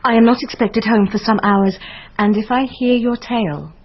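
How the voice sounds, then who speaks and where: An actress playing an earnest, naive eighteen-year-old bride in a radio drama, deferential and hesitant, with a husky voice. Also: female voice, fairly high pitch, moderate pace, dull audio, natural voice. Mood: curious, deferential.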